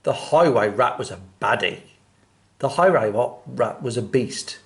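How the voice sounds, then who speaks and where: A man's voice: medium pitch, animated, a little slow. A man presenting and reading storybooks expressively for children.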